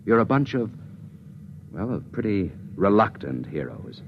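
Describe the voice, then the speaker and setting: Weary deep-voiced space official, old radio. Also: male voice, very animated, a little slow. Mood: wry, forceful. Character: weary, authoritative space commission official, reluctantly hard. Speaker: radio drama actor. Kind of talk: 1950s radio drama acting. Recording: noisy, muffled 1950s radio recording.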